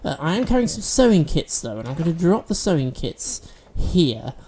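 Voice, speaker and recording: A man's voice: a little high, big pitch swings, normal speed, mellow, a little hiss or hum. A man hosting a let's-play video, chatting and explaining game mechanics as he plays, a bit sniffly from allergies. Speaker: gaming video host.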